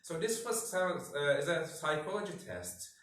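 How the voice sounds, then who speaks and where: A man's voice: fairly high pitch, expressive, measured pace, fairly bright tone. A man giving an academic talk as a researcher, speaking hesitantly and often repeating words. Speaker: researcher presenting at a symposium. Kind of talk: academic conference talk, partly improvised. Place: indoor symposium room. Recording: very echoey room, distant mic, faint noise.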